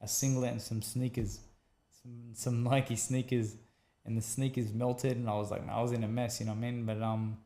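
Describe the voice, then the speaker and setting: Gravelly, mellow-voiced Australian man telling a story. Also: mid-range voice, normal speed, echoey room. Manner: animated storyteller acting out quotes, repeats phrases, says man a lot. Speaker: guest, former boxer. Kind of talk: conversational storytelling.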